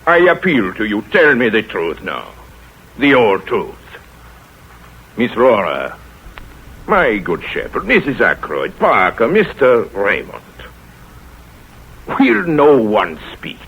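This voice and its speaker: Pompous aging sleuth; husky low voice that swoops, dull old radio sound. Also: male voice, huge pitch swings, exaggerated voice. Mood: commanding. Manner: pompous, measured pauses. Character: aged fussy male detective, proud and theatrical. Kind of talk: 1930s radio acting.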